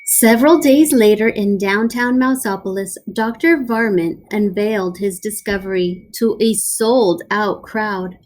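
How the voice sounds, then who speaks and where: A woman teacher reading a picture book aloud to children, telling the story in an animated way with playful asides. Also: medium pitch, unhurried, few pauses, slight background noise, rough recording. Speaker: elementary school teacher.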